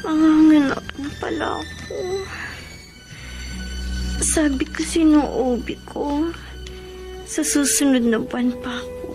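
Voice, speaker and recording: A woman acting a weak, grateful, devout new mother in a radio drama, her voice often breathy. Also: high voice, fairly even intonation, slow pace, pauses often, music in the background. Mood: weak, tender.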